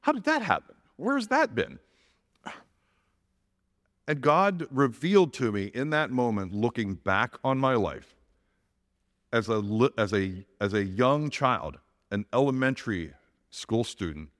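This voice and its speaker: A man's voice: medium pitch, sing-song, measured pace, long pauses, fairly bright tone, in a large hall. A middle-aged man with a big voice, giving an earnest personal testimony as a church elder, often restarting his sentences.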